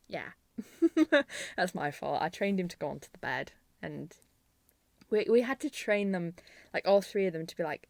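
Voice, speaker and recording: Female vlogger, slightly low voice, dry close sound, informal and chatty channel-host rambling. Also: gravelly, no room sound. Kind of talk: casual rambling chat to viewers.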